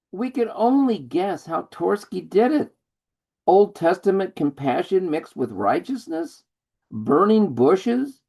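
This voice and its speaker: A man reading his poem at an online open mic, slow and deliberate, speaking over a video call. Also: on the high side, a little mellow. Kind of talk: poetry reading.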